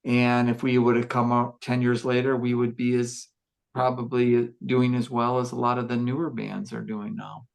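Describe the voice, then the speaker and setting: Gruff male musician, mid-pitched, unhurried podcast storyteller who circles back and restarts. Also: monotone. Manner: reflective, rambling storytelling with fillers and restarts. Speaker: musician discussing his band. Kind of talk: casual podcast chat.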